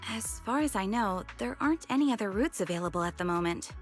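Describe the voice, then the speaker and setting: A woman's voice: mid-range voice, lively intonation, quick pace, faint music behind, lightly acted. A woman voicing a courteous, apologetic service attendant in a video game, speaking in customer-service fashion. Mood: apologetic, official.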